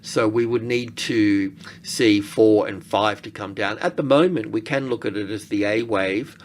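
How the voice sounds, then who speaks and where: Male market analyst, lowish voice, informal chart walkthrough, halting think-aloud delivery, faintly noisy recording. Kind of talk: informal technical chart commentary.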